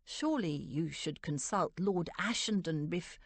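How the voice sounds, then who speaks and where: A woman's voice: lively intonation, a little slow, no echo. A woman voicing an anxious, deferential English butler who pleads respectfully in a storybook reading. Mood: relieved, deferential. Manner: low-voiced, pleading, respectful.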